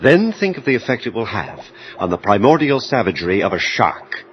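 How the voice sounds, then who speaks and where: An actor playing a self-absorbed scientist in an audio horror drama, speaking in formal, precise, clinical phrases. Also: male voice, lowish voice, big pitch swings, a little fast. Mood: coolly absorbed. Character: self-absorbed male scientist, coolly clinical, obsessed with his work. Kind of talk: audio horror drama acting with first-person narration. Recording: dull lo-fi audio with faint noise.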